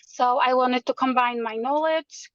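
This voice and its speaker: A woman's voice: sits high, unhurried, no room sound, muffled recording. A woman from Poland, a realtor earnestly explaining her background and the market as a guest in an interview. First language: Polish. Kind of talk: interview answers.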